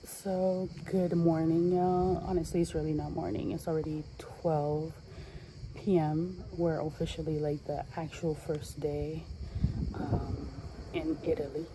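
A young woman talking casually to her phone camera at arm's length outdoors, chatty and saying y'all a lot, with a voice that is going hoarse. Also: sits low, little pitch movement, unhurried, noisy background. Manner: chatty, says y'all and like often. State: losing her voice. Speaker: travel vlogger. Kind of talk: casual vlog chat to camera. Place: outdoors, sunny hillside villa terrace. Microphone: phone camera held at arm's length.

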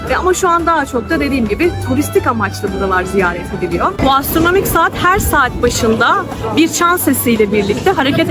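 A woman narrating a casual travel vlog outdoors in the city, chatty and explanatory as she addresses viewers directly. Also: high-pitched. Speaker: travel vlogger. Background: loud music, urban street sounds.

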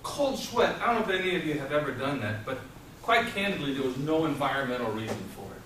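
A middle-aged man giving a theatrical tall-tale speech to a live audience, dramatic and deliberate, with pauses and repetition. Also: mid-range voice, very animated, a bit bright. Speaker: storytelling contestant at a public speaking club. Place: small meeting room with whiteboard and audience, indoors. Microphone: far camera microphone. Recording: roomy echo, distant mic, clearly noisy.

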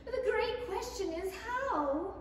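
A very high, girlish voice, polite and bewildered, in the manner of an old radio play. It rings around an echoey hall, some way from the microphone. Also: expressive, slow pace, exaggerated voice. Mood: wistful. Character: Alice, polite, bewildered little girl. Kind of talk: 1930s radio play acting. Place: theatre stage, reading from script. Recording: echoey hall, distant, faint noise.

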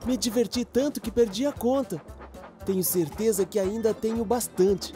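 A cheerful young boy engine from a children's cartoon , played by a woman, with a high voice and a Brazilian accent. He is eager and helpful, exclaiming with enthusiasm over a prominent music bed. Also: normal speed, lightly acted. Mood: excited, cheerful. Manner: bright-eyed, enthusiastic, exclamatory. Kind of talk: children's cartoon dub acting.

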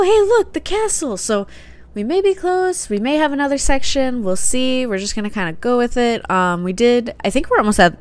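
A young woman commenting casually and excitedly as she plays a game, often laughing. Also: fairly high pitch, clean tone. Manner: giggly, excitable. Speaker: gaming youtuber. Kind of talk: casual let's play commentary. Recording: game music faint underneath.

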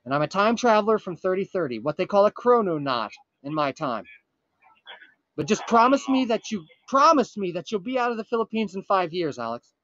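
A man playing a frantic, earnest time traveler from the future on a prank call, urgent, pleading and halting with dramatic pauses. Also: high voice, sing-song, a bit bright, no room sound, highs cut off. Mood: grave warning. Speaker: prank caller. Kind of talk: improvised comic prank phone call.

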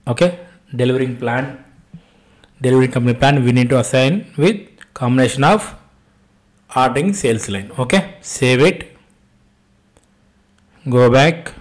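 A man with an Indian accent giving an off-the-cuff software tutorial as an instructor, repeating himself and posing short questions that he then answers. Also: medium pitch. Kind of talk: step-by-step screen-share tutorial, spoken off the cuff.

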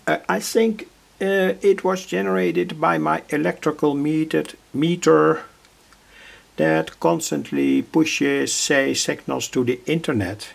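An older man with a husky, gravelly voice, giving an unscripted workbench demonstration in halting, hesitant phrases. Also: a little high. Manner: halting, hesitant phrasing with repeated filler words. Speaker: radio hobbyist presenter. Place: indoor home electronics workbench.

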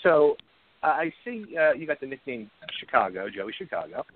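A man's voice: mid-range voice, big pitch swings, moderate pace. A man hosting a radio interview in a loose, casual way, laughing often and rambling with fillers. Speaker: radio host. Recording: dry, phone-like sound.

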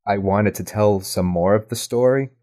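Male podcast guest, low-pitched, thoughtful stop-and-start talker in a casual interview. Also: moderate pace, dark tone. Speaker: podcast guest, audio drama writer and producer.

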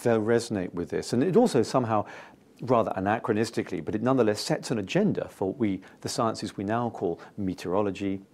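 A British man in his fifties explaining as an interview guest, enthusiastic and emphatic, with halting phrasing. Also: fairly low pitch, expressive, a little mellow. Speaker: interview guest, scientist and author. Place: indoor TV-style studio.

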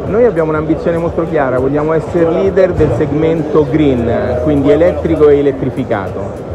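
A man's voice: on the high side, fairly quick, very noisy. A man, a car company executive, answering interview questions confidently and fluently at a busy motor show.

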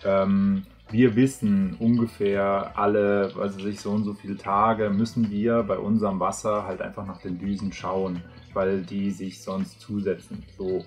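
Low-voiced German host, easygoing. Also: male voice, measured pace, few pauses. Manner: agreeable, backchannels often, relaxed asides. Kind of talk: casual interview chat. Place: bright office room with plants.

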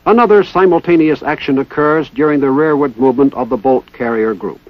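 A man giving formal, precise, scripted narration in the style of a 1960s military instructional film, with measured enunciation. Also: medium pitch, no echo. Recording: dull 1960s training film soundtrack.

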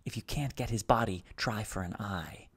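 A man voicing a wary, jungle-hardened mother who speaks in hushed, sharp tones. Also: fairly low pitch, normal speed, husky.

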